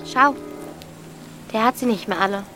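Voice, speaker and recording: A woman's voice: on the high side, normal speed, noisy background, close to an everyday voice. A woman playing a bold, defiant thirteen-year-old village girl, blunt and impatient, in a radio drama. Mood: brisk, scornful.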